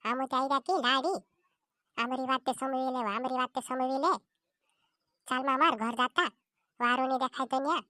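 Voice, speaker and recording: A high, dry-sounding voice of a woman acting as a bickering villager in a cartoon comedy. The character scolds and argues, going over the same phrases again and again. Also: moderate pace, long pauses, bright, forceful tone, dry recording. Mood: scolding.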